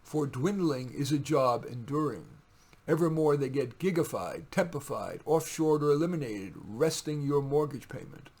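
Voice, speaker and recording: Male author reading own verse. Unhurried, rough-edged voice, deliberate and wry. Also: fairly high pitch, darkish tone. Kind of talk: poetry reading with informal asides.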